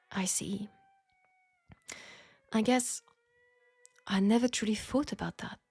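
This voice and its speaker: A woman playing a flirtatious, caring Parisian seamstress in an intimate steampunk audio roleplay, speaking with a French accent and a soft, hesitant delivery. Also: medium pitch, slow, creaky, husky, dry recording, soft background music, heavily acted. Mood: troubled, compassionate. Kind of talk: intimate scripted audio roleplay addressed to the listener.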